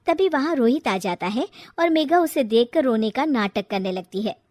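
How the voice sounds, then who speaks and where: A woman's voice: on the high side, animated, normal speed, darkish tone, dry recording, clean studio sound. A woman narrating a scripted moral story.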